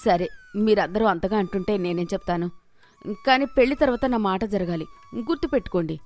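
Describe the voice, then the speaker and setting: A woman's voice: medium pitch, fairly quick, a little mellow, soft background music, close to an everyday voice. A woman voicing a proud, fussy village mother-in-law with a mocking, domineering way of talking, in a moral story. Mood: grudging. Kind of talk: Telugu moral story character voice.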